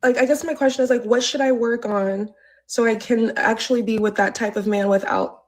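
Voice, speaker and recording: A woman's voice: a little high, fairly even intonation, brisk. A woman in her thirties from the Bay Area, asking for relationship advice in a hesitant way, full of ums and likes. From San Francisco Bay Area. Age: 30s. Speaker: caller seeking advice.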